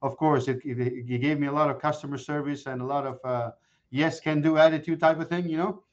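A man in his forties, a guest mortgage broker, chatting casually in an interview and telling animated stories with many restarts. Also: on the high side, fairly quick, muffled recording. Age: 40s. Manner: animated storyteller with frequent restarts.

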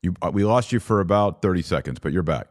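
Male podcast host, lowish voice, close dry sound, conversational discussion. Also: lively intonation, fast talker, no room sound.

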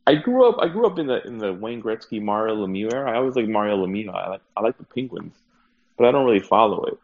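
A man from New York chatting casually on a sports podcast, offhand and quick. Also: fairly low pitch, sing-song. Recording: dull lo-fi line.

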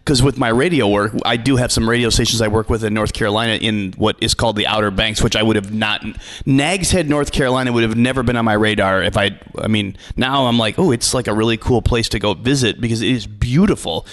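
A man's voice: fairly low pitch, fast talker, few pauses, fairly bright tone. A man from Iowa who works in radio, co-hosting a podcast with jokey banter. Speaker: podcast co-host, radio worker. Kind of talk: casual chat.

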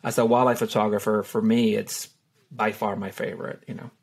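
A middle-aged man from Honduras talks through his answers with a gravelly, creaky texture. He hesitates often and fills pauses with "uh" and "you know", restarting his sentences as he goes. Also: fairly low pitch, level tone, darkish tone. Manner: hesitant, many fillers and restarts. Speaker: wildlife photographer and tour guide. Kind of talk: relaxed interview answers.

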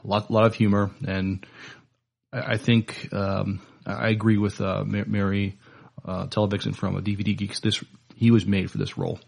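A man co-hosting a podcast in casual chat, with a halting, hesitant delivery. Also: on the low side, level tone, vocal fry, darkish tone. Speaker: podcast co-host.

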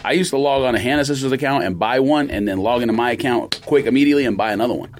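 A man's voice: medium pitch, racing, slight background noise. A man co-hosting a podcast, speaking in a dry, matter-of-fact way with wry humor. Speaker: podcast co-host. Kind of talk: casual chat.

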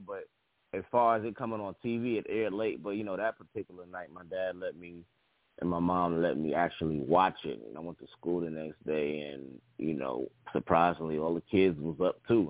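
A man's voice: low voice, brisk, no echo. A young man from the Bronx, a guest comedian, telling stories in a laid-back, casual way. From the Bronx, New York. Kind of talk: casual interview chat. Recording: tinny phone line, dry.

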